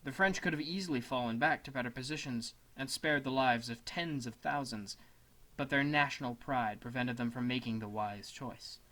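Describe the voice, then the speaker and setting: A man narrating a scripted essay as a dramatic reading, in a solemn, measured manner. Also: medium pitch, pushed and bright. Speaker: student narrator.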